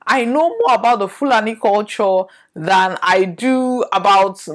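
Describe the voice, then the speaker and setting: A woman with a Nigerian accent talks through her opinions alone as a podcast host. She is thoughtful and keeps posing rhetorical questions to her listeners. Her voice sits at an ordinary middle pitch for a woman. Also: expressive, a little slow. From northern Nigeria. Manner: reflective, asks many rhetorical questions. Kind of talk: solo opinion commentary to camera.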